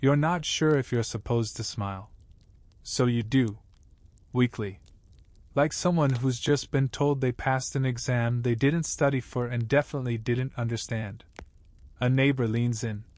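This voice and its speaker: A man narrates a scripted bedtime story in a medium-pitched voice. His delivery is measured and wry, like a storyteller addressing one listener. The sound is dry, with no room echo. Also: fairly even intonation, a little mellow.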